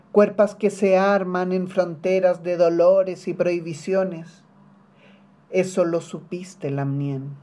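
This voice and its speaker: A poet with a Chilean accent reciting an elegiac spoken-word protest poem in a solemn, deliberate way, with litany-like repetition. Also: medium pitch, warm tone.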